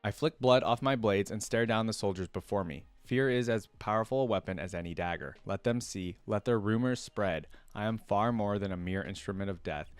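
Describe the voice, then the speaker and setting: A man's voice: fairly low pitch, a little fast, hardly any pauses, clean tone, dry recording, faint music behind. A man hosting a reaction video, reading a story aloud and adding casual, conversational fan commentary.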